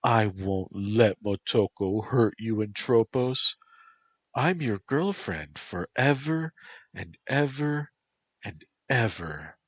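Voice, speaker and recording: A man's voice: on the low side, expressive, slow, husky, no echo, pitched above the performer's own voice, heavily acted. A man doing a sing-song doting girlfriend. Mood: soothing. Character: sweet, doting young woman, falsely gentle.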